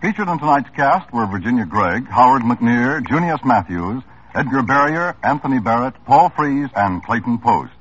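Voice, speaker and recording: Lively old-time radio announcer man. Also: medium pitch, normal speed, a little hiss or hum. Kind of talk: 1950s network announcing. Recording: dull old radio broadcast with music.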